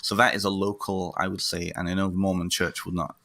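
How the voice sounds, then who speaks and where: Man, very low pitch, forceful bright tone, conversational explainer, often adds "right?". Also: little pitch movement, fairly quick, no echo, clean studio sound. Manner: emphatic, often checks with "right?". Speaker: interview guest, therapist and lecturer.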